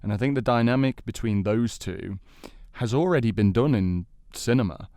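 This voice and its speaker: A man hosting and debating on a casual panel show, explaining his picks in a measured way. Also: lowish voice, a little mellow. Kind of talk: casual panel debate about games and film.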